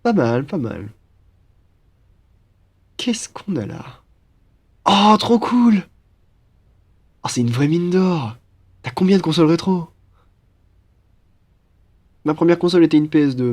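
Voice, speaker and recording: A man's voice: on the high side, a little mellow. A young man playing an upbeat, cheeky student roommate in a French roleplay, with a playful, slangy, enthusiastic manner. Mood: excited. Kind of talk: casual French roleplay acting.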